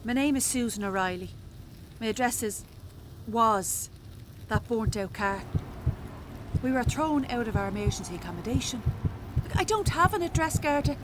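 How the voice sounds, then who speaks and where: A woman's voice: a little high, lively intonation, moderate pace, long pauses, noisy background, natural voice. A woman playing a weary, exasperated Irish mother made homeless with her children, sardonic and colloquial, in a radio drama. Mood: bitter, desperate.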